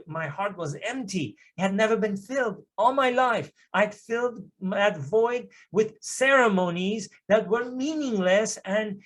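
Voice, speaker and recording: A middle-aged man from Iran, a native Persian speaker, giving an earnest personal testimony at a church service, with emphatic swells as he tells his story. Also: high-pitched.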